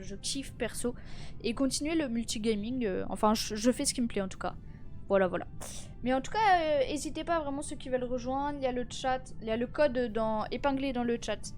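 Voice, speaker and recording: A teenage girl with a high voice chats with her live-stream viewers, tired and a little stuffy with a cold. She fills her talk with fillers and restarts as she greets people. Faint music plays behind her. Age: teens. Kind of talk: casual live-stream chat.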